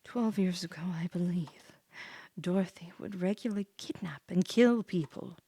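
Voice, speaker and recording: A woman voicing an ominous game character recounting a dark legend in a hushed, dramatic way. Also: fairly low pitch, moderate pace, slightly rough, no echo, pitched below the performer's own voice.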